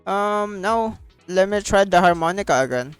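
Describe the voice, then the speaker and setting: A streamer giving informal, slangy and excitable gaming commentary, sometimes reading dialogue aloud. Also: mid-range voice, moderate pace, clear, resonant tone, soft background music. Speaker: streamer playing a game.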